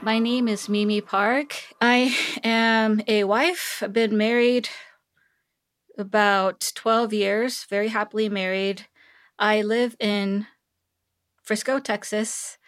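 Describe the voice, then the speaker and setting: A woman's voice: mid-range voice, fairly even intonation, slow. A middle-aged woman from Seoul speaking conversationally as a guest in an interview, given to laughing. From Seoul, South Korea. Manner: laughs often. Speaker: podcast guest, technology executive.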